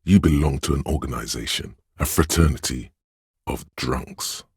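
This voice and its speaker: A man voicing an insinuating inner voice in a video game, narrating in an intimate, coaxing, knowing and measured way, with a very deep, husky tone. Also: darkish tone, no echo, studio quality, heavily acted. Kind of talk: scripted second-person game narration.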